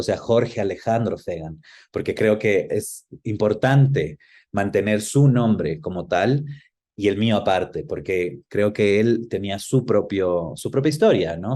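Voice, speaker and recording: A man's voice: lowish voice, mellow. A man speaking conversationally as an interview guest, thoughtfully searching for words and rephrasing as he goes.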